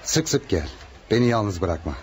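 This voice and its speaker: An actor in a radio play voicing a needy, ailing husband, plaintive and subdued. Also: male voice, lowish voice, very animated, moderate pace, a little mellow, slight background noise. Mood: needy, tender.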